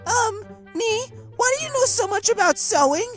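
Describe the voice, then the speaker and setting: A man voicing an excitable, sweet, naive young dragon head in a cartoon comic dub. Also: very high voice, moderate pace, faint music behind, pitched above the performer's own voice. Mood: puzzled.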